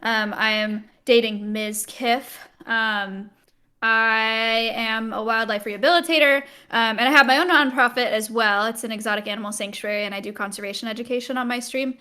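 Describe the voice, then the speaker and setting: A woman's voice: a little high, steady tone, normal speed, pushed and bright, clean tone. A woman in her early twenties talking casually as a co-host on a podcast. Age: 20s. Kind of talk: casual chat.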